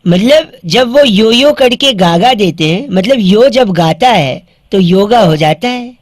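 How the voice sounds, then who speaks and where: A man's voice: sits high, animated, unhurried, no room sound, clean studio sound. A man doing a cheeky prank-calling lad Bauaa. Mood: teasing. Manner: teasing, playful, wordplay jokes. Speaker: radio prank caller. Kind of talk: radio prank call.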